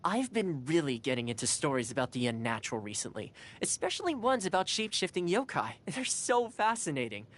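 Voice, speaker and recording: Lively, cheerful young housekeeper lad. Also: female voice, low-pitched, brisk, few pauses. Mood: friendly, chatty. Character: cheerful, caring young male housekeeper, friendly and earnest. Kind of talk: video game character voice lines.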